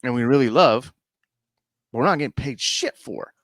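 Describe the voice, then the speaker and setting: A man's voice: mid-range voice, lively intonation, moderate pace, a bit bright, no echo, clean studio sound. A middle-aged man from the United States co-hosting a podcast, rambling in casual chat and often asking "you know what I mean". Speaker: podcast co-host.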